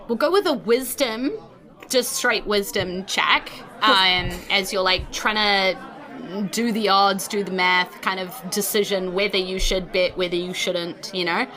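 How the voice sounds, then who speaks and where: A woman's voice: mid-range voice, slow pace, pushed and bright, a faint crowd. A woman, narrating a tabletop game as game master in an informal, conversational way with casual asides.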